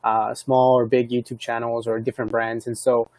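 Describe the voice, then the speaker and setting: A man's voice: mid-range voice, monotone, moderate pace, a little mellow, no echo. A man hosting a live stream, asking friendly, inquisitive questions and often cutting in with encouraging affirmations. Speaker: live stream host and interviewer. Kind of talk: casual interview questions.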